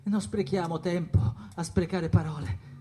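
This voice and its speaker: An actor playing a tormented, restless jazz musician in a theatrical, impassioned radio monologue. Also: male voice, high voice, fairly even intonation, a little slow, over music. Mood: restless urgency. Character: tormented, drug-worn male jazz musician, restless and defiant.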